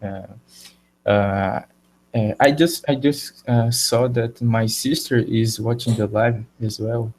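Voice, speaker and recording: A Brazilian man with a Portuguese accent hosting a live video chat in English, halting and searching for words. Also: medium pitch, steady tone, a little mellow, low-quality recording. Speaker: live show host.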